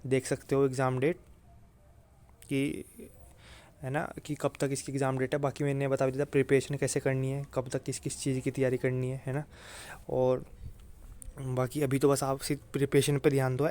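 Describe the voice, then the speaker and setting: A man's voice: medium pitch, darkish tone. A man giving an informal explainer to students as a channel host, reassuring and often adding little check-in questions. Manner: reassuring, repeatedly checks understanding with tag questions. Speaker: exam-prep channel host.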